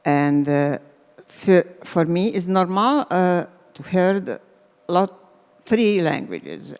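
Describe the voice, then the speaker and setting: A composer from former Yugoslavia, a woman whose first language is Hungarian, speaking halting English with a Hungarian accent and searching for words. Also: lowish voice, big pitch swings, a little mellow, clean tone. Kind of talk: hesitant panel talk. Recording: reverberant hall.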